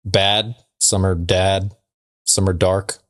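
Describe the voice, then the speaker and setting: Male podcast co-host, lower register, wry and easygoing, casual joke-telling chat. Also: little pitch movement, slow.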